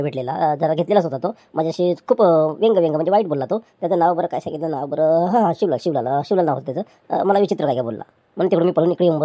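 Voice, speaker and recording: A woman's voice: low voice, dry recording, muffled recording. A woman voicing a poor, meek male chair seller who pleads and placates with stammering restarts.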